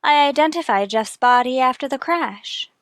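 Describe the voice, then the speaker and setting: A woman's voice: high voice, lively intonation, moderate pace, fairly bright tone, dry recording. A woman acting as a guarded, secretive widow in a radio mystery. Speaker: actor.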